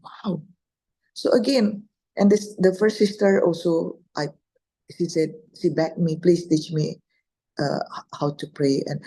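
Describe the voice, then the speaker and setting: A middle-aged woman with an Indonesian accent shares her experiences as a guest scholar. Her voice sits low, with a dark, slightly raspy tone. She often repeats and rephrases as she searches for words. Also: low-quality recording. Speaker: guest speaker, Islamic scholar. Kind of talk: personal storytelling in an online talk.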